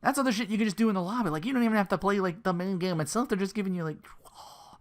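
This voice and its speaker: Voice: medium pitch, rapid-fire. A podcast host giving casual solo commentary on video games, rambling and profane, often acting out reactions. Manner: rambling, animated asides, profane, acts out reactions.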